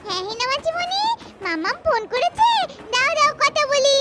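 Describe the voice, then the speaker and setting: A woman voicing an excited, sweet little girl in a doll story, childlike and eager. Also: very high-pitched, moderate pace, voice pushed up, heavily acted. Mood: delighted.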